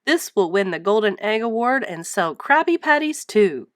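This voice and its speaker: Woman doing a gruff, animated old cartoon crab. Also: medium pitch, normal speed, no echo, studio quality, natural voice. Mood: boastful. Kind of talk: children's storybook character voice.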